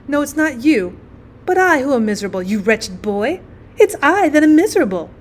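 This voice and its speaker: A woman's voice: sits high, sing-song, normal speed, a little hiss or hum, pitched above the performer's own voice. A woman voicing an overwrought, self-pitying mother who scolds and laments in a storybook reading. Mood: bitter, lamenting. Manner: lamenting, melodramatic.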